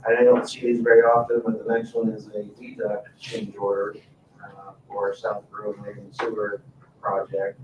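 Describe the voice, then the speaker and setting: Low-voiced man, distant in an echoey room. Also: monotone, a little slow, highs cut off. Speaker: town staff member presenting agenda items. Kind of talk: informal presentation at a council meeting. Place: indoor town council meeting room. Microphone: distant room microphone. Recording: echoey meeting room, distant mic, faint noise.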